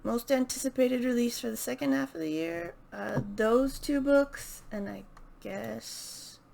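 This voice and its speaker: Slow, creaky-voiced woman chatting about books. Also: a little high, warm tone. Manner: hesitant, thinking aloud. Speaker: book video channel host. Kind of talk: casual unscripted book chat to camera.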